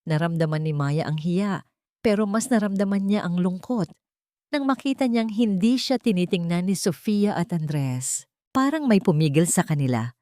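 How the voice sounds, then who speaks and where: Woman narrating drama with lively intonation. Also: lowish voice, moderate pace, no room sound, studio quality. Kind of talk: dramatic scripted story narration.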